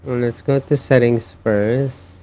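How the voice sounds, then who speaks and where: A man's voice: mid-range voice, fairly even intonation, slow pace, mellow. A man hosting a casual gaming live stream, talking hesitantly and muttering to himself as he plays. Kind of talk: casual live stream chat while playing a game.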